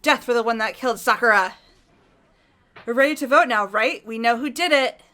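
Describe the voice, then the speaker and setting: A woman's voice: a little high, normal speed, bright, forceful tone. A woman voicing a hot-tempered young woman who speaks bluntly and emotionally. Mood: furious. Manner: emotional, outspoken.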